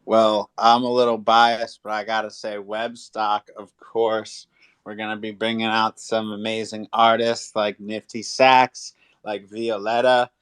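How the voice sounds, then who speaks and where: A man's voice: on the low side, flat delivery, measured pace, fairly bright tone, clean tone. A man co-hosting a live online audio chat, talking casually and promotionally with frequent checking tags. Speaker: co-host.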